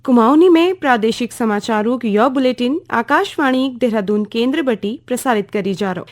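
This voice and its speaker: A woman's voice: on the high side, lively intonation, normal speed. A woman with a Kumaoni accent reading a scripted announcement as a radio station announcer.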